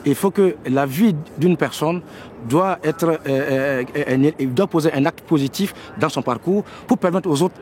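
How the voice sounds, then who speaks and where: A man's voice: on the high side, a little slow, a little mellow, slight background noise. A man speaks as a choreographer in a reflective interview, repeating words as he thinks.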